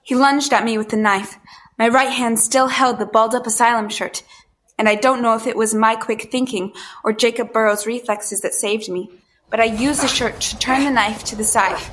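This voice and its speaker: An actress playing a hunted woman who tells her story as tense, deliberate first-person audio drama narration. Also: a little high, fairly even intonation, fairly bright tone, natural voice.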